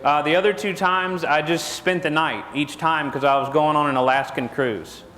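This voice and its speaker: A middle-aged Texan man speaks to a conference audience in a folksy, joking way and keeps throwing questions at them. His voice sits a bit high for a man. A lapel mic picks him up in a large hall with a long, echoing reverb. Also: fairly even intonation, normal speed, a bit bright. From Texas. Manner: folksy, jokey, asks the crowd questions. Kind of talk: joking seminar talk to an audience.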